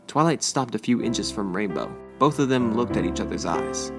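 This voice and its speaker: Very low-voiced woman narrating a story. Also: expressive, moderate pace. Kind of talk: scripted storybook narration of fan fiction. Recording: music behind the voice.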